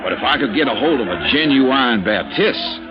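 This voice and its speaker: A man's voice: medium pitch, animated, normal speed, close to an everyday voice. An actor putting on a Texas accent to play a brash, boastful, folksy rich oilman who keeps calling people partner. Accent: Texan. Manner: loud folksy drawl, says partner. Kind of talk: 1950s radio drama acting. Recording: dull 1950s radio broadcast with music.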